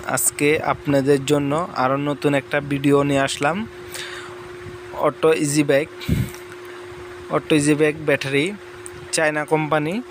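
A young man from Bangladesh selling batteries in a casual promotional talk, pausing often with fillers and restarts. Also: fairly high pitch, slight background noise. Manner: halting, with many fillers and restarts. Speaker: battery seller. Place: large indoor battery factory floor.

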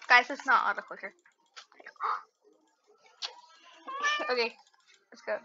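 A giggly, excitable gamer chatting casually while playing with a friend. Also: mid-range voice, slow. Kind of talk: casual gameplay chat. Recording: muffled mic with background noise.

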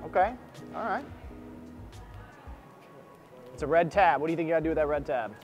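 A man in his thirties teaching strangers first aid in an indoor shopping mall, energetic and coaching, checking in with "right?" as he goes. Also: on the high side, rapid-fire, dull audio, faint music behind. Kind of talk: street demonstration teaching.

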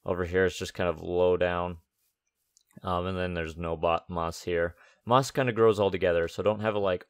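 A man with a slightly raspy voice, recovering from losing it, giving casual, unscripted gaming commentary as he thinks aloud. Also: deep voice, dry recording, studio quality. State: recovering from a lost voice. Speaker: host of a gaming let's-play series. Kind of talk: casual game build commentary.

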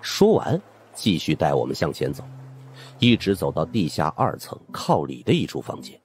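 Male audiobook narrator for suspense fiction: deep, highly expressive, dramatic storytelling. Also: normal speed. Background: background music.

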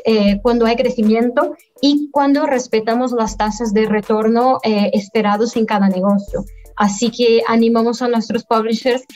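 Woman guest pausing often on a video call. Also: a little high, darkish tone, dull audio. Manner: measured, halting delivery with frequent pauses. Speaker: guest, affiliate manager. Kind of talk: business interview answers. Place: indoor room at home, by video call. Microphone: webcam on a video call.

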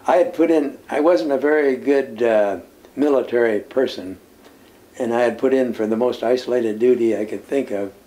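An older man, a former lighthouse keeper, recounts his service in an unhurried interview, pausing often with "uh" and soft chuckles. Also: medium pitch. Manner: unhurried storytelling with many "uh" pauses and soft chuckles. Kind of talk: reminiscing interview answers. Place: indoor room lined with nautical memorabilia. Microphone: seated interview, camera at medium distance.

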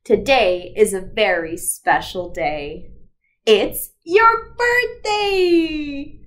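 Storybook little girl, read by a woman: affectionate, cheerful, expressive, high voice, slow clear phrasing for toddlers. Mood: gentle excitement. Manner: animated, expressive read-aloud delivery.

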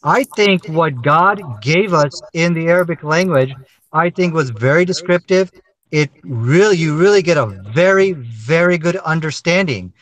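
High-voiced man debating on a video call. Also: expressive, unhurried, a bit bright, no room sound, poor audio. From Pakistan. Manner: persistent, lecturing, often says "you know". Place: dark room, indoors. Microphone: webcam on video call.

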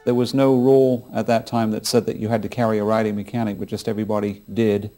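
A middle-aged man with an American accent speaks in a low voice as an interviewed historian. He explains conversationally, pausing and starting again as he goes. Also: little pitch movement, warm tone, faint music behind. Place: indoor room, filmed interview.

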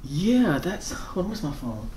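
An American man in his thirties doing a casual ASMR roleplay as a friendly, flustered rental host, soft-spoken, halting and apologetic. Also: high voice, very animated, a little hiss or hum. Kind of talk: ASMR roleplay, casual one-sided conversation. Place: bedroom, indoors.

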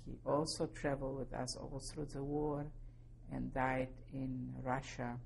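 A woman in her seventies from Poland, giving oral history testimony slowly and carefully, spelling out names. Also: very deep voice, little pitch movement, creaky, a little mellow, a little hiss or hum, rough recording. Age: 70s. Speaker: interviewee.

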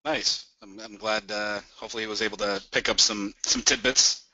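A man drops into a live trading webinar as a guest and chats casually. His voice sounds sharp and tense, with a completely dry sound and no room around it. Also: lowish voice, fairly even intonation, a little fast, no echo, recorded from a distance, poor audio. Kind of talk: casual chat.

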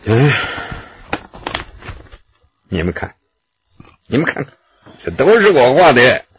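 An actor playing a nervous, cornered gallery owner in a radio drama, stammering and hesitant. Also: male voice, mid-range voice, big pitch swings, slow, lo-fi sound, a little hiss or hum. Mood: flustered. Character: nervous, cornered male gallery owner who forges paintings. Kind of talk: Chinese radio drama acting.

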